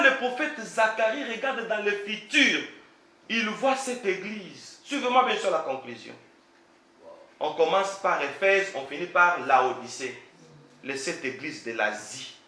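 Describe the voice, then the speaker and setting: A man's voice: high voice, pushed and bright, roomy sound. A man preaching a sermon as pastor, emphatic and full of rhetorical questions; French is not his first language.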